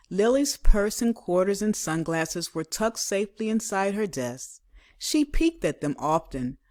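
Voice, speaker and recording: A woman with a fairly low voice reads a children's storybook aloud. She is expressive and emphatic, telling the story in the manner of a teacher reading to pupils. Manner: dramatic, emphatic delivery with acted character voices.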